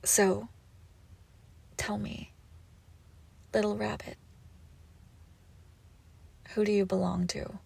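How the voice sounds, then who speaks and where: A woman voicing an obsessive, icy and menacing crime-boss ex-girlfriend in an intimate audio roleplay, speaking deliberately with swings between whispers and outbursts. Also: medium pitch, flat delivery, gravelly, a little mellow, husky, exaggerated voice. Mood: coaxing, controlling.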